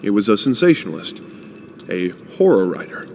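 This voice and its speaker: An actor playing a haughty news announcer in a video game, emphatic and disdainful. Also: male voice, on the low side, big pitch swings, normal speed, darkish tone, a little hiss or hum. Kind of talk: dramatic news report delivery. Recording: long reverb under clear music.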